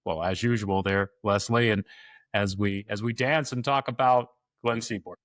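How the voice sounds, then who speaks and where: A man hosting a sports podcast, reading announcements and introducing a guest in an upbeat, smooth way. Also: fairly low pitch, fairly even intonation, normal speed, a bit bright, no room sound, clean studio sound. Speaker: podcast host. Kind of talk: scripted podcast announcements and interview.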